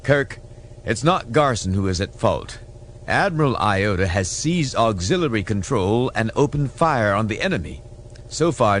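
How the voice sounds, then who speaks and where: A man's voice: fairly low pitch, slight background noise, voice pushed up. A man voicing an alarmed admiral delivering an urgent, formal military report in an audiobook. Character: alarmed male admiral bearing grave military news.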